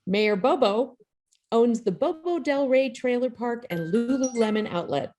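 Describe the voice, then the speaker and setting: A woman's voice: a little high, lively intonation, measured pace, a little mellow, soft background music. Female host for video-call show introduction; informal, jokey, self-effacing delivery. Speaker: playwright and host of an online table read.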